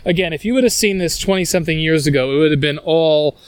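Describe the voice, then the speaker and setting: Brief: New York-accented man, fairly high voice, rambling outdoor tour guide, lots of ums and restarts. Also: fast, clear, resonant tone, no echo. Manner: rambling, enthusiastic, many fillers and restarts. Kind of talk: casual walking-tour chat. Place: outdoors on city streets.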